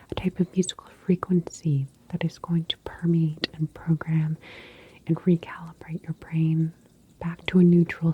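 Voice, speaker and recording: A woman whispering softly and breathily in a gentle, reassuring ASMR clinic roleplay. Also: lowish voice, slight background noise, poor audio. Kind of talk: ASMR roleplay, soothing patient-facing talk.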